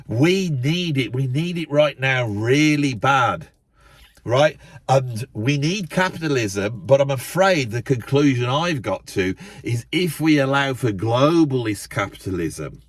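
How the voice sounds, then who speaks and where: A man ranting into a camera in his parked car, loud and emphatic, repeating himself, his nose a little blocked up. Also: medium pitch, no room sound. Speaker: YouTube channel host. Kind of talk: impassioned monologue to camera. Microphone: camera set up in the car.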